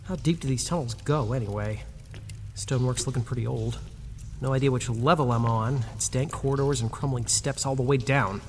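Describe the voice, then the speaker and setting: A man's voice: mid-range voice, fairly quick, in a large hall, a little hiss or hum, close to an everyday voice. An actor playing a grumpy, put-upon young man in an audio drama, muttering, sarcastic and self-pitying. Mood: sulky muttering. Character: grumpy, put-upon young male museum worker, Nick.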